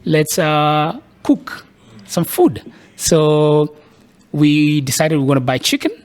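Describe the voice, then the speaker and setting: A man's voice: on the high side, slow pace, darkish tone. A man from Kenya, a podcast guest, thoughtfully recounting his life in a casual, reflective way. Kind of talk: casual interview storytelling.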